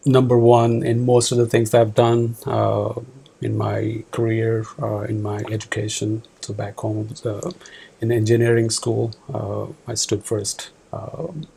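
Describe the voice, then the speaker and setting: A man in his forties from Pakistan, a department manager answering interview questions in an office, halting with many fillers and restarts. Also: on the low side, level tone, creaky, warm tone, husky, rough recording.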